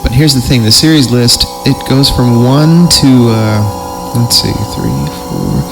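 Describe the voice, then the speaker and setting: A man's voice: mid-range voice, very animated, a little slow, creaky, poor audio. A man giving casual, explanatory podcast commentary over background music.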